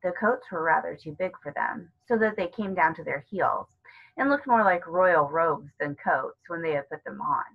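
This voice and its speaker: A woman narrating a children's novel as a read-aloud, with a husky voice. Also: sits low, normal speed, dull audio. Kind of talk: read-aloud storybook narration with character dialogue.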